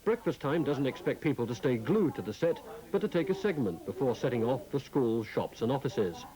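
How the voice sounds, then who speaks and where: A man's voice: mid-range voice, sing-song, a little fast, rough recording. A British man reading scripted narration as a television reporter. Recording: crowd chatter behind the voice.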